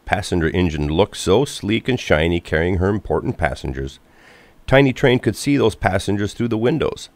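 A man reads a children's storybook in a low voice. His narration is expressive and animated, with wide pitch movement, in a close, dry recording. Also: normal speed, dry recording.

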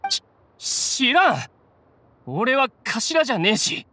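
An actor playing a defiant, rough-talking low-ranking bandit in a video game. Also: male voice, very animated, slow pace, sharp tone, slight background noise, heavily acted. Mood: defensive. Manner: rough, coarse, blustering speech. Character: defiant low-ranking bandit gang grunt, adult man. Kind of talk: Japanese game voice acting.

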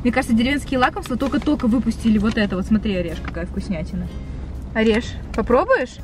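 A young woman talks to her viewers from inside a parked car at night, recorded on a handheld camera. Her voice sits a little high, and she chats fast and lively, cooing at her cat between remarks. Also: faint music behind. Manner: lively, chatty, coos at the cat. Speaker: pet vlogger. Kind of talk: casual vlog talk to viewers.

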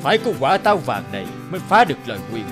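A man's voice: fairly high pitch, very animated, very fast. An older cartoon king once cursed, grateful and dignified. He speaks in a stately, formal way, with music playing under him. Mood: solemn. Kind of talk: cartoon fairy-tale dubbing.

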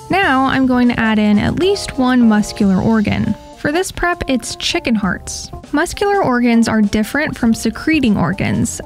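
Woman, high-pitched voice, scripted tutorial narration, pet channel host, faint music behind. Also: darkish tone. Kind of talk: scripted how-to voice-over narration. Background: background music.

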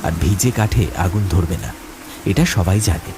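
A man's voice: low voice, sing-song, moderate pace, noisy background. A man narrating a scripted horror story in a dramatic, suspenseful manner.

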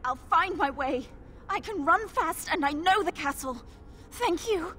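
An actress playing a frightened, timid young castle maid in a fantasy video game, pleading hesitantly in a trembling voice. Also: female voice, very high voice, lively intonation, a little slow, bright, forceful tone, a little hiss or hum, full character voice. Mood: timid relief. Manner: tremulous, hesitant, breathless pleading.

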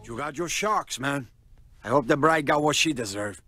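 An actor playing a cocky, menacing crime boss with a Cuban accent in a video game cutscene. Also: male voice, medium pitch, lively intonation, normal speed, sharp tone, faint music behind. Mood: persuasive.

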